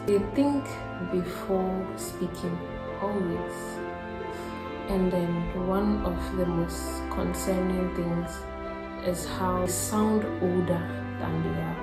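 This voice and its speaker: A woman with a Ghanaian accent narrating a reflective scripted video essay, speaking deliberately in short, paused phrases. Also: on the low side. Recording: roomy echo, music behind. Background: background music.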